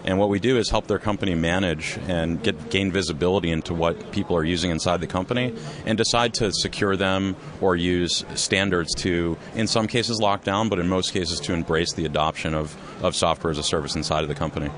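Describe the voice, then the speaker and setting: A man who co-founded a software company, explaining his business in a measured, conversational interview at a trade expo. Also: low voice, fairly even intonation. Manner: measured, explanatory, with frequent restarts.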